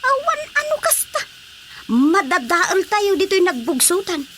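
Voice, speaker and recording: A woman's voice: very high-pitched, very animated, unhurried, a bit bright, slight background noise, full character voice. A woman playing a worried, nagging villager in a comic radio drama, with a scolding, exclamatory manner.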